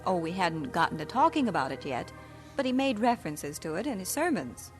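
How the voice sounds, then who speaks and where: A woman narrating in a gentle, reflective tone, her voice sitting a bit low for a woman. Clear music plays behind her on an old radio recording. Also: very animated, a little fast. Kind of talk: 1940s radio drama narration.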